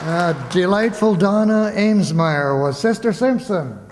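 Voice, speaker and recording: A man hosting a stage show, giving informal thanks to an audience in a halting, rambling, jokey way. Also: sits high, a crowd in the background. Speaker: host of a radio players' stage show. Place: stage in a hall before a live audience. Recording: echoey hall, audience, background noise.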